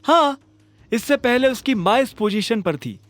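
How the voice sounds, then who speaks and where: A man's voice: very high-pitched, animated, unhurried, fairly bright tone, faint music behind, voice pushed up, heavily acted. A man voicing a sly, bossy manager in a secret gang, scolding and emphatic, in an audio drama. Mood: conspiratorial, animated.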